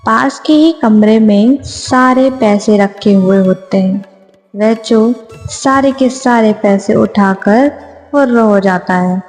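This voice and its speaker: A woman's voice: medium pitch, a little mellow. A woman narrating a scripted moral story for a cartoon. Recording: long reverb, music behind.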